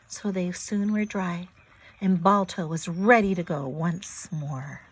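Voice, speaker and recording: A woman reading a story aloud to young children, with expressive, dramatic and emphatic delivery. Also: on the high side.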